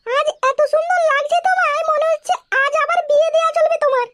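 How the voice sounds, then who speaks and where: A woman voicing a chatty, teasing middle-aged village housewife in an animated comedy, in a squeaky, artificially high voice. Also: quick pace, faint music behind. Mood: teasing, admiring. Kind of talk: animated comedy cartoon dialogue.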